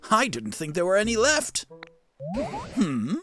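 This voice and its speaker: Jovial elderly vine-monster professor for a video game, performed by a streamer, genteel, chuckling, highly animated. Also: a little slow, full character voice. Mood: startled curiosity. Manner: chuckling, quaint, genteel exclamations. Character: jovial old professor made of rustling vines. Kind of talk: cartoonish video game character dub.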